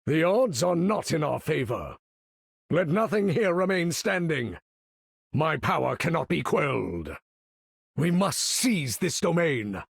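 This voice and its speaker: A man's voice: a little high, big pitch swings, slow pace, gravelly, husky, dry recording, clean studio sound, exaggerated voice. A man voicing an imperious older supervillain in a video game, commanding battle with pompous, theatrical, formal diction.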